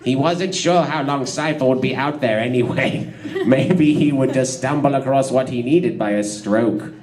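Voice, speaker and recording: A man's voice: medium pitch, a little slow, darkish tone. A man narrating comic fan fiction in a theatrical, giggly way, prone to breaking up and tossing in asides.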